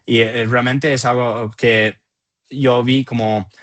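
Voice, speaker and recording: A man in his thirties with an American accent and English as his first language, answering interview questions in halting phrases with repeated words. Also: medium pitch, level tone, a bit bright, muffled recording. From Atlanta, southeastern United States. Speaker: company founder and CEO, interview guest.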